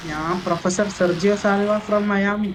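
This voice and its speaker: A man's voice: high voice, fairly even intonation, measured pace. A man with a north Kerala accent chatting casually as a player in an online roleplay game. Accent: north Kerala Malayalam. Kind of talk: casual in-game chat, giving advice. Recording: noisy game voice chat.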